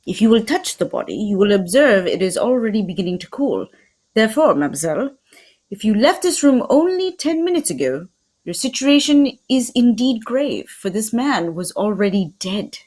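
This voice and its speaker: A woman playing a fussy, courtly Belgian male detective in a radio mystery, speaking formally and deliberately, with theatrical precision. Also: medium pitch, big pitch swings, a little mellow. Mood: probing, stern. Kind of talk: old-time radio mystery script reading.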